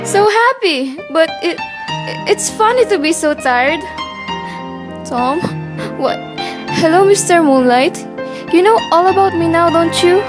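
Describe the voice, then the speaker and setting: Woman playing a very high-voiced, weary grandmother. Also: expressive. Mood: serene, fading. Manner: halting, repeating words. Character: tender, weary, dying grandmother, devoted wife. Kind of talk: radio play acting. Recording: loud music behind the voice.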